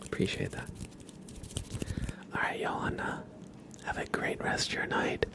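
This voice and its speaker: A man hosting an ASMR livestream, speaking in a breathy whisper and soft murmur, soothingly repeating words to viewers at a close microphone. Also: measured pace. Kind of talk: ASMR, slow whispered roleplay and chat to viewers.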